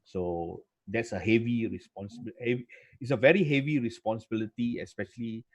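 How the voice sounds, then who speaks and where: A man's voice: lowish voice, a little fast, no echo. A Malaysian man speaking casually as a guest instructor in an online meeting, repeating phrases and often checking in with "you know" and "yeah". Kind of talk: casual spoken Q&A. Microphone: online video meeting.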